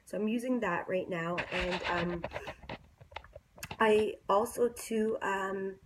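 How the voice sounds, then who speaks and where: A woman's voice: mid-range voice, slow, a little hiss or hum, poor audio. A young woman with a congested, nasal voice, chatting to her viewers in a pregnancy vlog, confiding and full of ums. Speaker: pregnancy vlogger. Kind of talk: casual chat to camera. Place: bedroom, indoors. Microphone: phone camera.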